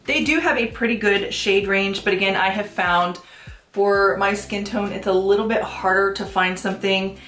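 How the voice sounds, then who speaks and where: A woman in her thirties talking casually through a makeup tutorial, a little congested and sniffly, with relaxed ums and likes. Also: medium pitch, normal speed, echoey room. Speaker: beauty vlogger. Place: small room at home, plain backdrop. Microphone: camera at head-and-shoulders framing.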